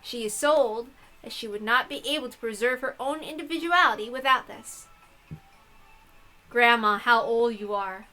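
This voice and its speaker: A woman's voice: on the high side, moderate pace, pushed and bright, soft background music, low-quality recording. A woman hosting a game playthrough, reading text aloud and adding playful, casual asides. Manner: playful, giggly, swearing asides.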